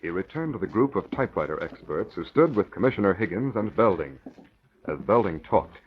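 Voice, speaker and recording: A man's voice: low voice, sing-song, fairly quick, breathy edge, full character voice. A man performing as a dramatic narrator of a 1940s radio serial, with scripted delivery. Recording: muffled vintage radio recording.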